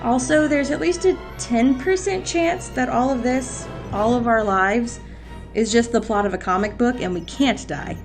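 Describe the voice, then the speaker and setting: A woman plays a commanding agency boss in an audio drama. Her voice is fairly high, with a dry, decisive delivery and faint music behind it. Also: normal speed, close to an everyday voice. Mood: resolute.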